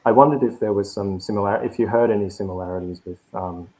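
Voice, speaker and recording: Deep, mellow-voiced man moderating. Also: fast, slight background noise, poor audio. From New Zealand Māori. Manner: rambling, tentative questions. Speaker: panel moderator. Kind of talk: panel discussion.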